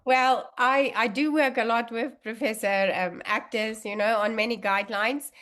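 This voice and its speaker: Afrikaans-speaking woman, higher voice, chatty. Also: steady tone, normal speed, fairly bright tone. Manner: chatty, many fillers and restarts. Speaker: guest allergy researcher. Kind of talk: casual interview talk.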